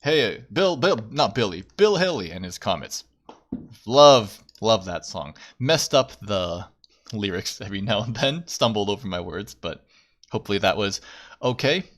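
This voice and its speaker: A man's voice: lowish voice, big pitch swings, normal speed. A young man hosting a livestream, chatting casually in a self-deprecating way and often repeating his phrases. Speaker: VTuber livestream host. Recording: background music. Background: music.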